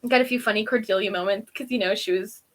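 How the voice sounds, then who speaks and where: A woman's voice: mid-range voice, quick pace. A woman co-hosting a casual review, chatting informally with a friend in a giggly, rambling way. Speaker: co-host of a TV episode review.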